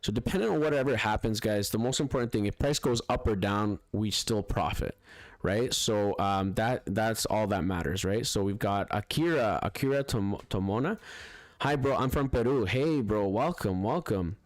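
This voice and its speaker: A man's voice: lowish voice, moderate pace, dry recording. A man hosting a casual live trading stream, talking over charts in a chatty way, full of fillers, restarts and "right, guys?". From Spanish-speaking background. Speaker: forex trading livestream host.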